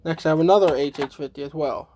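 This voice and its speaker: A man giving a casual, halting, informal show-and-tell demonstration as a hobbyist. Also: on the high side, background noise. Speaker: hobbyist demonstrating a radio collection.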